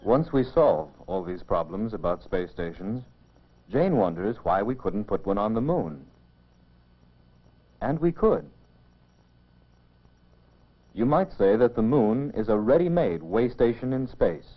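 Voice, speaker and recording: A man giving measured, explanatory scripted narration for a mid-century educational film, on a dull old film soundtrack. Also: fairly low pitch, very animated.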